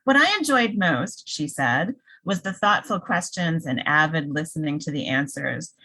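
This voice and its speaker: A woman with a low voice co-hosts a podcast in a dry room, chatting casually. She jokes playfully as she talks. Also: lively intonation, moderate pace, pushed and bright, dry recording, low-quality recording. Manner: playful and joking. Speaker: podcast co-host. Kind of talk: casual chat.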